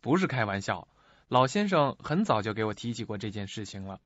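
An actor playing a polite, matter-of-fact lawyer in a radio drama, courteous, measured and formal. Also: male voice, on the low side, very animated, fairly bright tone, no echo. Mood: firm, calm. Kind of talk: Mandarin radio drama acting. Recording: dull lo-fi recording.

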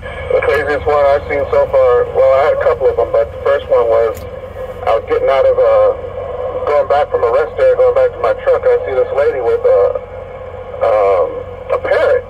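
A man's voice: medium pitch, brisk. A man tells stories in an easygoing, casual style over CB radio. His mid-range voice comes out dull and distant from the speaker, with faint vehicle noise around it. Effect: CB radio transmission. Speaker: trucker on CB radio. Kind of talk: casual storytelling.

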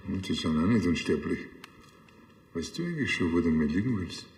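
A man's voice: deep voice, big pitch swings, brisk, a little mellow, echoey room, long reverb tail. An actor playing an earnest, fussy village policeman with a Bavarian accent in a TV crime comedy. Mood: preoccupied.

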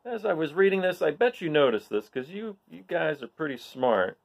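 A man's voice: a little high, very animated, moderate pace, gravelly. A middle-aged man hosting a storytime for children, explaining things and addressing the young listeners directly. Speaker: storytime host. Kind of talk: read-aloud talk to children. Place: bright living room, indoors.

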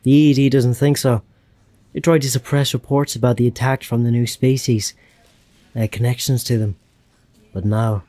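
A man plays a secret agent in a sci-fi audio drama. He is mock-polite and coolly threatening, with a mid-pitched voice in a dry recording. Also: fairly even intonation, moderate pace, pauses often, mellow, dry recording, lightly acted. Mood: quietly threatening. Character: smooth, coolly insolent male secret agent. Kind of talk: science fiction audio drama acting.

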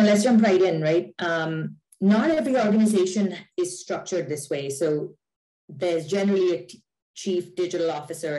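A woman's voice: sits low, warm tone, poor audio. A woman in her thirties hosting a webinar interview over a video call, asking questions in a friendly way with fillers. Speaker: webinar host, general manager.

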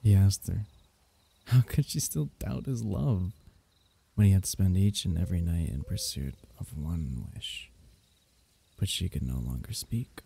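A man voicing a gentle, loving royal diviner, telling a bedtime myth as ASMR in a tender, archaic, unhurried, husky way. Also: low voice, heavily acted. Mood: tender, solemn. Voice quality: husky, gravelly. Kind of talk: ASMR bedtime story roleplay.

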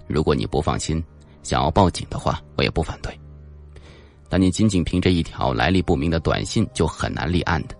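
A man voicing a calm, guarded male psychologist in an audiobook, reasoning in a measured, placating way. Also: rumbling low, gravelly, full character voice.

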